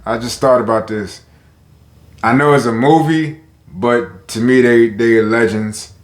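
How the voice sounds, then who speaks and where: A man with a deep voice rambles casually straight to his viewers, stopping and restarting his sentences. He talks at an ordinary pitch. His voice bounces around an echoey room. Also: a little slow. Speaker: vlogger. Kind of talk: casual talk to camera.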